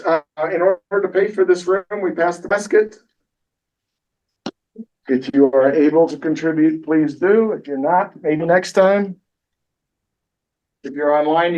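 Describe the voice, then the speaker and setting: A man with a slightly high voice hosts a meeting in a room, relaxed and joking. He is heard from some distance on a dull, muffled recording. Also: unhurried, long pauses. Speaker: meeting host. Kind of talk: casual meeting announcements. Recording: dull audio, voice from far off.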